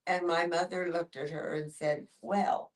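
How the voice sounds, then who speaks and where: Slow, low-voiced older woman, off mic. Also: flat delivery. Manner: halting, with pauses. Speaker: discussion group participant. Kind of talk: personal storytelling in a group discussion. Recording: distant mic in a room.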